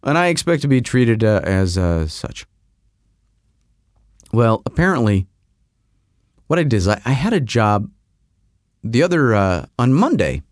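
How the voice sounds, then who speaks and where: A man's voice: mid-range voice, big pitch swings, unhurried, long pauses, no room sound, clean studio sound. A man hosting a podcast who tells a rambling comic story full of self-mocking asides. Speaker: podcast host.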